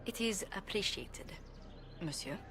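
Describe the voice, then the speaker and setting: A woman's voice: mid-range voice, animated, measured pace, a bit bright. A woman playing a poised, diplomatic young noblewoman in a video game, speaking formally and articulately with composure. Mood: cool, courteous. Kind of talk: video game cutscene acting.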